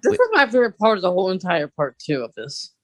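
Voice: medium pitch, sing-song, fast talker, no room sound, studio quality. A podcast co-host chatting casually, reacting to and commenting on a story.

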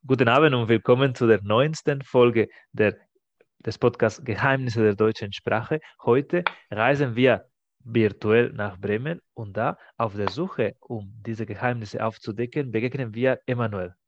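A man's voice: medium pitch, dry recording. A man whose first language is Spanish, hosting a podcast in German, speaking thoughtfully and hunting for his words. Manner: thoughtful, halting, searching for words. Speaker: podcast host. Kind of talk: casual interview chat. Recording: dull, dry, muffled.

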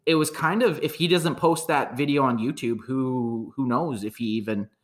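A man's voice: medium pitch, moderate pace. Casual swearing man talking football. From Canada. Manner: frank, swears freely, rambling restarts. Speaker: podcast co-host. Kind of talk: casual sports chat.

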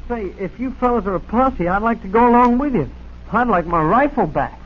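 On a tinny vintage radio recording, a plucky teenage country boy. The voice is strikingly high, earnest and guileless, delivered in classic 1940s radio style. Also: lively intonation, a little fast, slightly rough. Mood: eager.

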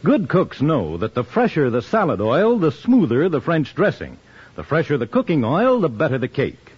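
A husky male announcer reads a persuasive 1950s radio commercial, his voice rising and falling with lots of animation. The broadcast sounds dull and lo-fi. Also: a little high, huge pitch swings, normal speed, a little mellow. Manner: smooth, persuasive.

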